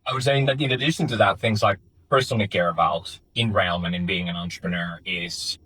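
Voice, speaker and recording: A young man from Finland speaks in a low, husky voice, giving interview answers about his startup. He talks thoughtfully, pausing with fillers and restarting his sentences. The sound is dry and close, with little room echo. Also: fairly even intonation, sharp tone. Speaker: startup founder. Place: office in Finland.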